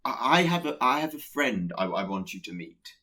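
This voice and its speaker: A man's voice: medium pitch, big pitch swings, moderate pace, pushed and bright. An actor in a radio play playing a nervous, awkward Pestilence who hesitates and stammers as he secretly admires catastrophe. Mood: nervous, evasive.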